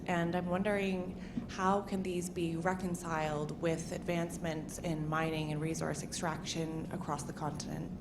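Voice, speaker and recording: A woman in the audience asks the panel a polite, thoughtful question in a lowish voice. Noise sits behind her speech. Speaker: audience member. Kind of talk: asking a question.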